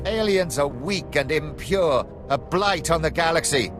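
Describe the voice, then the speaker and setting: A fanatical Imperial commander from a sci-fi game. He is arrogant and cruel, declaiming with haughty menace in a fairly high male voice over loud music. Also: lively intonation, unhurried. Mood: gloating, fanatical. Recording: music behind the voice.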